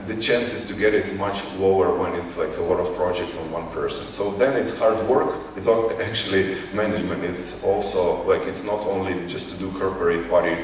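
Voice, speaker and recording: Distant reverberant hall sound, man, Ukrainian accent, non-native English, fairly low voice, halting delivery, informal presenter. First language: not English. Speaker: conference speaker, company CEO. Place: conference hall. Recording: echoey hall, distant mic, faint noise.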